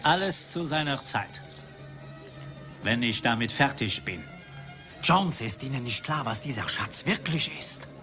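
An actor playing a suave, cynical rival archaeologist in a dubbed film, speaking in a polished, philosophical way. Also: male voice, mid-range voice, expressive, unhurried, long pauses, close to an everyday voice. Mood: intense. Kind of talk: dubbed 1980s film dialogue. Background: film music.

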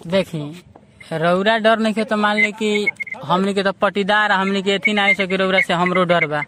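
A man's voice: high voice, fairly even intonation, moderate pace, background noise. A man with a Bhojpuri accent arguing informally on a recorded phone call, insistently repeating himself. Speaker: singer in a phone dispute. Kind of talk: heated informal phone conversation.

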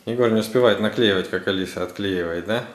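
A man's voice: fairly low pitch, fast talker, slight background noise. A man chatting casually with small children, guiding them with encouragement and short checking questions. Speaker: parent filming and guiding children's craft. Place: living room at home, behind the camera. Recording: echoey room, off-camera.

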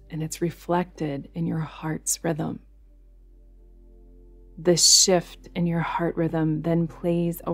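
A woman's low voice leads a guided meditation over music. She keeps her pitch very even and steady. Her delivery is soothing and unhurried, with space between the instructions. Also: husky. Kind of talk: guided yoga nidra meditation narration. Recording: music behind the voice.

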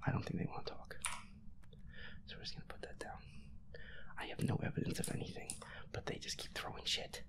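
A gamer with a breathy, whispery voice, nervously muttering to themself while playing through a horror game. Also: a little fast, long pauses, rough recording. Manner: nervous, muttering to self, reluctant. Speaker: solo gamer. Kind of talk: hushed let's-play commentary of a horror game.

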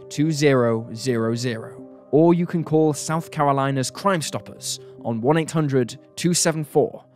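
A man delivers scripted true crime narration in a serious, measured way. His voice sits at a medium pitch, and music plays behind him. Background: background music.

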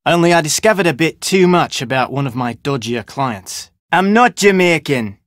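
Video game fugitive: Australian male, wary and brusque, slightly high voice, very dry recording. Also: lively intonation, normal speed, a bit bright, no room sound. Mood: guarded, confiding. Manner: brusque, slangy, dismissive. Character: gruff, wary Australian fugitive hiding on an island. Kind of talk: video game character dialogue.

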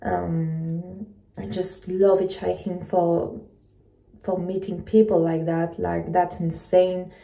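A woman chats casually to camera, haltingly searching for words in English. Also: lowish voice, little pitch movement, vocal fry, warm tone. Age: 20s. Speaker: travel vlogger. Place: small indoor room at night. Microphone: phone propped in front of her. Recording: echoey small room.

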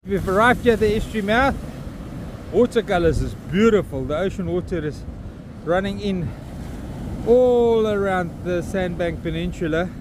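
A man's voice: high-pitched, lively intonation, moderate pace. A man in his fifties with a South African accent, walking a windy beach and talking bluntly into a phone camera, swearing as he rants. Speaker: vlogger. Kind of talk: outdoor walking vlog. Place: windy beach at an estuary mouth, outdoors. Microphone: phone camera held at arm's length. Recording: wind and outdoor noise.

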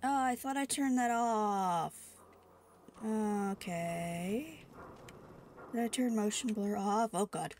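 A woman's voice: medium pitch, takes it slowly, pauses often, a little mellow. A young woman with a stuffy cold, rambling sleepily and full of fillers while playing a video game. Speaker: gaming streamer. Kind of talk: casual let's-play commentary.

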